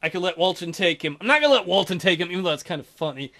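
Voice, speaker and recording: A man's voice: high-pitched, lively intonation, rapid-fire, bright, forceful tone. A man hosting a let's play, reading a visual novel aloud and cracking crude, offhand jokes. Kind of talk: casual gameplay commentary.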